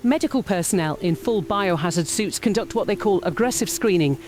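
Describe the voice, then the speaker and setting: A woman's voice: medium pitch, animated, brisk, a little mellow, faint music behind, natural voice. A woman playing an urgent field correspondent in an audio drama, intense and formal. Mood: urgent, shaken. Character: urgent female field correspondent at a military checkpoint. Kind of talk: scripted on-scene news report.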